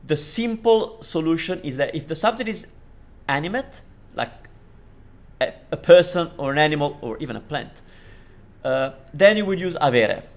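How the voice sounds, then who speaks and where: Guy from italy teaching italian, gravelly voice, lots of um and you know. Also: on the high side, lively intonation, moderate pace. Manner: explains with many fillers and restarts, switching between English and Italian. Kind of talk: language lesson commentary.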